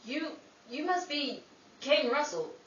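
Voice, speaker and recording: A woman's voice: high-pitched, sing-song, a little slow. A woman acting a curious, hesitant questioner in the manner of a 1930s radio drama. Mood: puzzled. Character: curious, hesitant female questioner at a death scene. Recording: muffled, noisy, echoey, distant mic.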